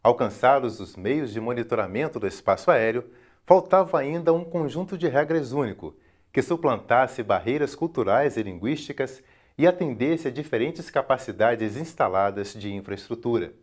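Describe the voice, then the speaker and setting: A man with a Brazilian accent reading scripted educational documentary narration in a formal, measured, carefully enunciated way. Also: medium pitch, lively intonation.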